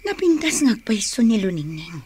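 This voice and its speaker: An actress playing a concerned, steady older-sister village woman who counsels in a measured tone in an Ilocano radio drama. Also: a little high, slight background noise. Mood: cautioning, soothing.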